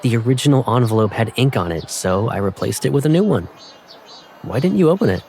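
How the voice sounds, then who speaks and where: A man playing a tender, teasing young college graduate in a romance game fan dub, speaking softly and gently. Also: medium pitch, animated, brisk, a little hiss or hum, close to an everyday voice. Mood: teasing, fond. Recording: background music, echoey hall on speech.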